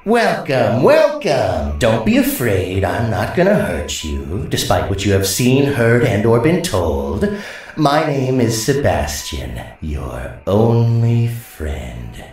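An actor playing a sly, theatrical male monster shopkeeper in a video game, talking like a smooth salesman. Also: mid-range voice, huge pitch swings, slow, darkish tone. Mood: sly, welcoming. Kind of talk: video game character dialogue. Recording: echoey, distant game audio, faint music.

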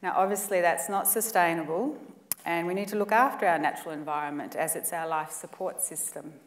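A woman's voice: on the low side, fairly quick, recorded from a distance. A woman in her forties with an Australian accent, giving an emphatic, earnest presentation at a conference lectern. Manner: earnest, emphatic, builds lists for effect. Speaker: conference speaker. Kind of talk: presentation talk. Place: conference room or lecture hall, indoors. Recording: echoey hall, mic set back.

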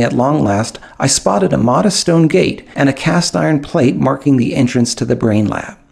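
Gravelly, husky male audiobook narrator. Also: mid-range voice, darkish tone. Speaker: author reading own audiobook. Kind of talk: scripted audiobook narration, first-person memoir.

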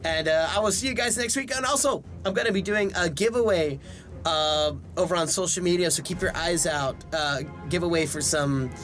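A young man giving casual let's play commentary in an excitable, self-deprecating way, often exclaiming. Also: sits high, moderate pace. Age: young adult. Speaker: voice actor and gaming video host. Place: small indoor room. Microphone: desk microphone close by, with headset worn. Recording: game music behind the voice.

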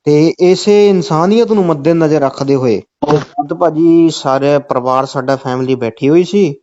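A man's voice: a little high, moderate pace, warm tone. A man with a Punjabi accent making a comic phone call, talking insistently and falling back on the same stock phrases. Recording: dull, lo-fi phone call recording.

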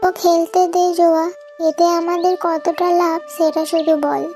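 A woman voicing an arrogant, greedy middle-aged stepmother in a cartoon, scolding loudly and sneering. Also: very high voice, level tone, measured pace, soft background music. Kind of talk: cartoon moral-story voice acting.

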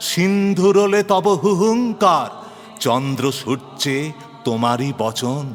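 Slow, solemn man reciting in deep reverb. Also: fairly even intonation. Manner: solemn, declamatory, drawn-out chanting phrasing. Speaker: poetry reciter. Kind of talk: dramatic devotional poetry recitation. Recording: long hall reverb, music behind. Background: music.

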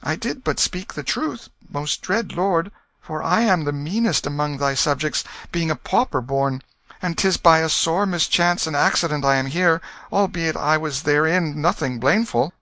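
A man's voice: on the high side, normal speed, bright, forceful tone, dry recording, pitched above the performer's own voice. A man voicing a humble, earnest young pauper boy in an audiobook, meek and pleading in archaic speech. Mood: desperate pleading. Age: child figure. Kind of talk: audiobook character voice.

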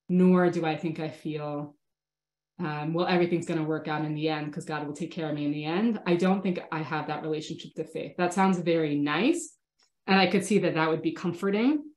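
A woman in her thirties, a rabbi teaching an online class from her home study, talking in a thoughtful, casual way with many restarts, sniffly from a cold. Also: low voice. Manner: thoughtful, hesitant restarts, warm engagement with participants. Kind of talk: casual online lecture answering chat questions. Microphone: laptop webcam video call. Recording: roomy webcam audio.

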